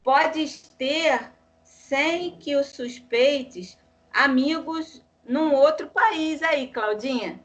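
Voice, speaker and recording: Woman with a high voice reading a passage slow and careful, muffled video call sound. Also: a bit bright. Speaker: study group participant. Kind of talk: reading a passage aloud then commenting. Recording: dull lo-fi call audio.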